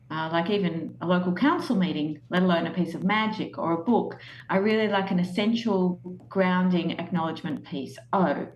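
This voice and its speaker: An Australian woman, a guest author, speaking thoughtfully in an interview with many pauses and fillers. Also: lowish voice. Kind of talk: podcast interview. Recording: echoey room with faint background noise.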